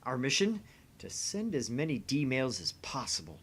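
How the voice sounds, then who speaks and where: A man's voice: mid-range voice, wildly swooping pitch, measured pace, a bit bright, breathy edge, exaggerated voice. A man playing a pompous, theatrical young mad scientist who makes grandiose proclamations in an amateur visual novel dub. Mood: commanding, bombastic.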